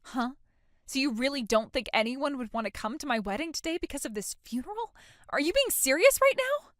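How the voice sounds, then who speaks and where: A woman's voice: sits high, very animated, brisk, fairly bright tone, dry recording, clean studio sound. A woman playing a self-centered young bride, whiny, oblivious and entitled, in a dramatized story. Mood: indignant. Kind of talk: dramatized phone-call story dialogue.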